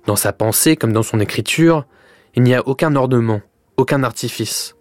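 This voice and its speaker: Measured male radio presenter. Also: medium pitch, no echo. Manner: measured, literary. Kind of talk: scripted radio narration.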